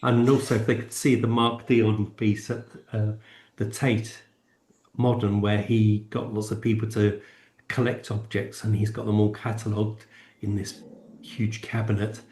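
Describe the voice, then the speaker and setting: A man speaks in a roomy, echoing sound. His voice sits a little low, and he talks casually as a co-host, circling tentatively through his phrases. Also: flat delivery, measured pace, darkish tone. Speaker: podcast co-host. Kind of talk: casual discussion.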